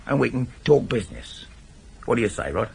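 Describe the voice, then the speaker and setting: A man's voice: medium pitch, very animated, a little fast, creaky, a little mellow, husky, full character voice. An actor playing a controlling middle-aged English burglar who instructs his gang insistently, in a naturalistic radio drama. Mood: persuasive. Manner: instructive, insistent, repeats himself for emphasis. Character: wily, controlling veteran burglar, father running a small gang. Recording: noisy 1980s radio drama broadcast recording.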